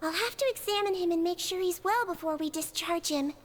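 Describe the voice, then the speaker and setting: A tiny gentle creature nurse. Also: female voice, very high-pitched, a little fast, background noise. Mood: caring. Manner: soft, patient, reassuring. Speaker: head nurse. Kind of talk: video game voice acting. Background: game music.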